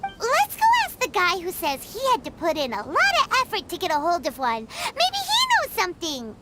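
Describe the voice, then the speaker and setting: Very high, excitable childlike girl. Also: normal speed, heavy background noise, heavily acted. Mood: earnest. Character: excitable childlike little girl, naive, eager and sleepy-headed. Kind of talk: English video game voice acting. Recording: game music clearly playing behind the voice. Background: video game music.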